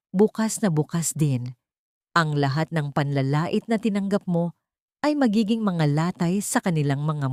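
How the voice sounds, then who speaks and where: A woman's voice: on the low side, a little mellow, no echo, studio quality, natural voice. A woman voicing a determined, vengeful male ally in an audio story.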